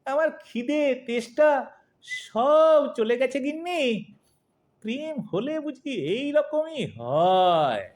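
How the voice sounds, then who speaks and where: A lovesick old husband acted by a woman in a comic audio play. The voice is high and very animated, drifting into dreamy sighing and whining protest. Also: slow pace, heavily acted. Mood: plaintive, lovesick. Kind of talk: comic Bengali audio drama acting.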